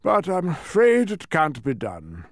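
A man's voice: a little high, huge pitch swings, unhurried, dry recording, clean studio sound, voice pushed up, heavily acted. A man voicing a blustering, melancholy king in a storybook, with a regal, grandiose manner of proclaiming. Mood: wistful, resigned.

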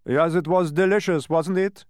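A man's voice: on the high side, steady tone, moderate pace, a little mellow, no echo, studio quality, pitched above the performer's own voice, lightly acted. A man voicing a cheerful, smug geometric creature that explains things eagerly in a storybook. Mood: pleased. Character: cheerful, smug, many-faced dodecahedron creature.

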